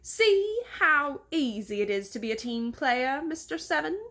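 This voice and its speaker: A woman's voice: sits high, sing-song, a little slow, bright, forceful tone, voice pushed up, exaggerated voice. A woman voicing a pompous, insulting rich man with an English accent in a storybook, imperious and scolding. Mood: condescending.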